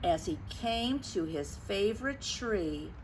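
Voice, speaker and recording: A woman reading a picture book aloud to children, expressive and dramatic, stretching words and chatting to her young listeners. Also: mid-range voice, slow pace. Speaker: storyteller for children. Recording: clearly noisy background.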